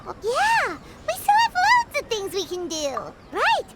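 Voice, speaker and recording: A woman voicing a tiny, perky fairy companion in a fantasy game, squeaky, childlike and excitable. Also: a little slow, heavy background noise, full character voice. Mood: encouraging. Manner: excitable, exclamatory. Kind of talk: anime game character acting.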